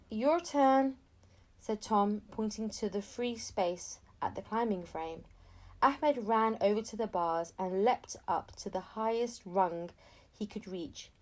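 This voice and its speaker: A woman's voice: medium pitch, measured pace, darkish tone. A woman reading a children's story aloud as an audiobook narrator, expressively and acting out the dialogue. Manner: acts out dialogue between narration. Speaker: audiobook reader.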